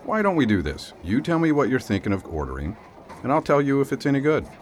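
Small-town sheriff, acted by a man: folksy, wry, grumbling but kind, hugely animated mid-range voice, radio drama. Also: mid-range voice, fast talker, a little hiss or hum, lightly acted. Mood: kindly, reassuring.

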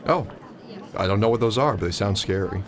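A man's voice: fairly low pitch, a little fast, a faint crowd, lightly acted. A man playing a naive, wide-eyed, awkward college freshman in an audio drama, speaking in a hesitant, curious, slangy way. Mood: uneasy, awkward.

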